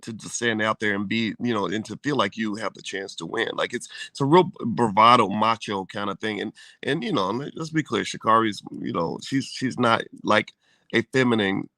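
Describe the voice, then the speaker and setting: A man with a low, gravelly voice and a bright, forceful edge, co-hosting a relaxed, joking conversation. His slangy, easygoing delivery sounds dry and close to the mic. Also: moderate pace, no echo. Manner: laid-back, joking, slangy. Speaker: co-host. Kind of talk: casual chat.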